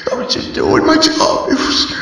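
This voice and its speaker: An actor playing a frightened, shaken young male victim, stammering haltingly in a horror comic dub. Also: a bit bright, a little hiss or hum, close to an everyday voice. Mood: desperate pleading.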